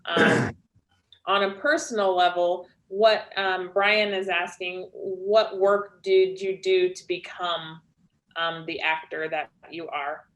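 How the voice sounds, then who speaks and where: A woman moderates an interview and passes along questions in a polite, somewhat hesitant way. She often fills her pauses with ums. Her voice is a little lower than most women's. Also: steady tone, fairly bright tone, echoey room, low-quality recording.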